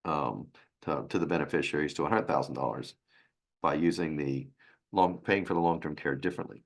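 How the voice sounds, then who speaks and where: Deep raspy guy, hoarse from a sinus thing, stop-start webinar talk. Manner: halting, with restarts and fillers. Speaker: financial advisor presenting a webinar. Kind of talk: webinar presentation.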